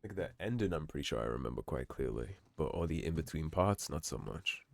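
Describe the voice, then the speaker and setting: A man giving relaxed, musing commentary over a game playthrough, his voice slightly hoarse. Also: a bass voice, a little fast. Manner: relaxed, musing, reads in-game notes aloud. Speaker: game streamer. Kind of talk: let's play commentary.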